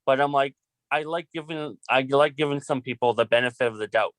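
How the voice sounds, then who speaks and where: A man's voice: medium pitch, brisk, a bit bright, dry recording. A man speaking as a student filmmaker in a casual group discussion. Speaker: student filmmaker in an online class meeting.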